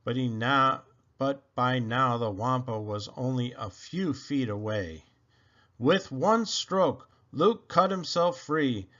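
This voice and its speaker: A man's voice: medium pitch, animated, slow, a bit bright. A man reading a children's adventure story aloud with dramatic emphasis on key phrases. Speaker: storybook reader. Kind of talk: scripted read-aloud storytelling. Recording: dull, lo-fi sound.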